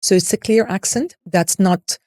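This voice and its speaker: A woman's voice: mid-range voice, moderate pace, a little mellow, no echo, clean studio sound. A woman with a neutral international English accent, explaining as a coach in an interview in an articulate, emphatic way. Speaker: voice and public speaking coach, interview guest.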